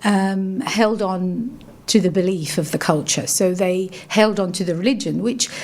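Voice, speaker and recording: A middle-aged woman from Yemen with a refined London accent, a guest in a radio interview who talks reflectively with many pauses and restarts. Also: medium pitch, darkish tone. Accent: refined London English. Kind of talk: casual interview conversation. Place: radio studio.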